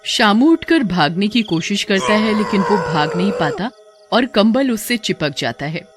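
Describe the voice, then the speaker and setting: A woman narrating a cartoon story with lively, dramatic storytelling. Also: medium pitch, measured pace, over music.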